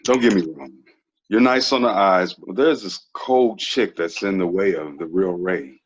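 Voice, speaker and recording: An actor reading a frank, laid-back twenties ex-athlete who is quietly troubled, slangy and earnest, in a TV drama table read. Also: male voice, low voice, measured pace. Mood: frank, teasing. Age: young adult. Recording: dull lo-fi recording.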